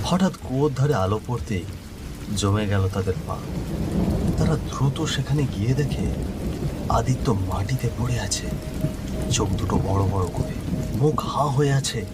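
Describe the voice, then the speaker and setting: A man's voice: mid-range voice, lively intonation, normal speed, pauses often, very noisy. A man narrating a scripted horror cartoon story in a suspenseful, descriptive way.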